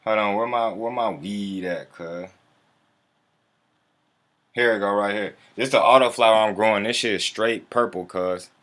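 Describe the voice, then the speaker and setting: A man's voice: on the low side, moderate pace, pauses often, a bit bright. A man hosting a casual livestream, talking in a laid-back, slangy, profane way and chuckling often. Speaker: livestream host.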